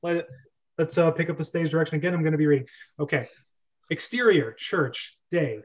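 Dull-sounding, higher-pitched young man hosting. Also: fairly quick. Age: young adult. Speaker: host of a script-reading club, reading stage directions. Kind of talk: casual directing and read-aloud on a group video call. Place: small bedroom, on a video call. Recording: dull lo-fi video-call audio.

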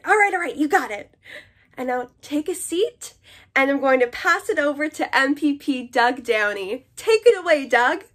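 A woman's voice: sits high, fairly bright tone. A young woman presenting a storytime to small children in an encouraging way. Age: young adult. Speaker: library summer program coordinator and storytime host. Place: small indoor room.

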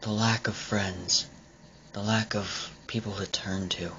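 A man voicing a brooding, bitter young killer artist in a halting, menacing confession, breathy and often dropping to a whisper. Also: fairly low pitch, level tone, fairly bright tone, lo-fi sound, slight background noise. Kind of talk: dramatic villain monologue.

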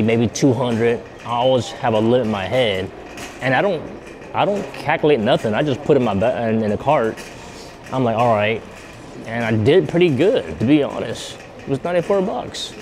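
A man chatting casually to his viewers as he shops in a grocery store, thinking out loud and repeating himself. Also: mid-range voice, background noise. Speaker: vlogger. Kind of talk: casual vlog chat.

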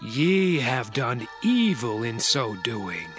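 A man's voice: medium pitch, sing-song, takes it slowly, fairly bright tone, soft background music. A man acting a commanding male governor in a dramatized scripture reading, with formal archaic speech. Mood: stern accusing.

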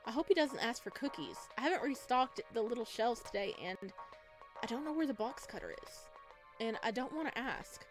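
A woman streaming a visual novel, reading aloud and reacting in a playful, dramatic, expressive way. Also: a little high, fairly quick. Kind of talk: let's play, reading game narration aloud with reactions. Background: game music.

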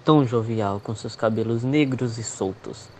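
A man's voice: medium pitch, normal speed, darkish tone, slight background noise. A man reading scripted, dramatic narration as the narrator of a radio soap opera. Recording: faint music behind narration.

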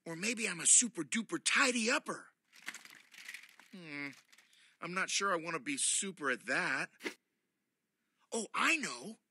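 A man's voice: high-pitched, lively intonation, unhurried, long pauses, sharp tone, slightly rough, no echo, pitched above the performer's own voice, exaggerated voice. A man doing a squealing eager little panda. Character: excitable little boy panda superhero hopeful.

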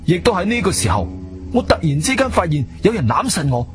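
A man's voice: high-pitched, very animated, a little fast. An actor with a Cantonese accent playing an adventurer hero who narrates his own ordeal as dramatic scripted storytelling. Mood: tense, grim. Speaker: first-person narrator. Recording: background music under the voice.

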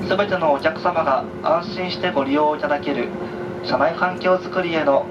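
A man working as a train conductor, making a formal, very polite announcement to passengers over the onboard speakers. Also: steady tone. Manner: formal, very polite, measured station-by-station delivery. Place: inside a train carriage, heard over the speakers. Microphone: announcement over PA, captured by a handheld camera. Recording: muffled train PA, distant, loud carriage noise. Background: loud train rumble and ambience.

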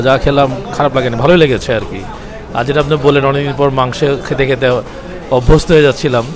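A man with a Bangladeshi accent chatting casually as a dining companion giving his opinion of a meal. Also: medium pitch. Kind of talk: casual chat. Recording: busy urban chatter and traffic behind.

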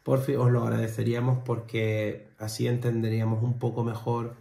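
A young man from Spain co-hosts a casual reaction video from his living room. His speech wanders, full of fillers and restarts, in a mid-range voice. The room adds a clear echo. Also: mid-range voice, level tone, fairly quick, mellow. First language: Spanish. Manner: chatty, rambling with many fillers and restarts. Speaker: reaction channel co-host. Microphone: fixed camera on a desk. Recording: roomy home echo.

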